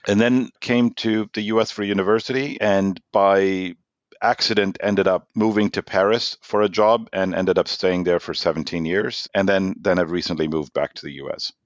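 A man in his forties who grew up in Norway, German his first language, answering podcast questions in a measured, explanatory way with restarts. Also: sits low, steady tone, no room sound, dull audio. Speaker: guest, sales executive.

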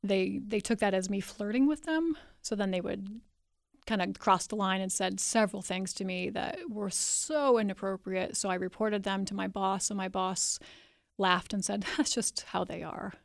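A middle-aged woman speaking candidly as an author being interviewed, animated and self-deprecating as she tells personal stories. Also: mid-range voice, normal speed, dry recording, studio quality. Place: radio studio, in person.

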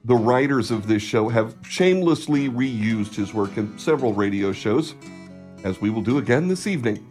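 Male announcer, mid-pitched, scripted radio intro delivery over faint guitar music. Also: expressive, normal speed. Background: soft guitar music.

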